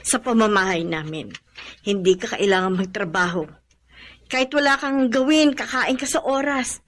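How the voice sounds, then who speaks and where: A woman's voice: medium pitch, normal speed, fairly bright tone, lightly acted. A Filipino woman playing a loving, worried foster mother in a radio drama, tender and emotional. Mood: fussing, worried.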